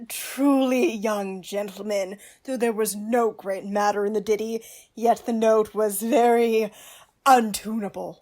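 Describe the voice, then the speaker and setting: A woman's voice: mid-range voice, fairly even intonation, a little slow, a little mellow, close to an everyday voice. A woman playing a witty, pompous male court jester in a Shakespearean radio play, with a mocking manner.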